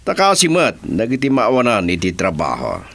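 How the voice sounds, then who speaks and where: A scheming political boss in a Filipino radio drama. He speaks low and slowly, stretching his words theatrically with huge swings of pitch. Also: huge pitch swings, fairly bright tone, exaggerated voice.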